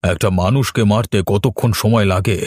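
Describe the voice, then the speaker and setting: Man acting a menacing, low-voiced villainous occultist father. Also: moderate pace, heavy background noise, close to an everyday voice. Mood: menacing, demanding. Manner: commanding, menacing. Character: stern, scheming father who raises ghosts by occult practice. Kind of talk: Bengali horror cartoon voice acting.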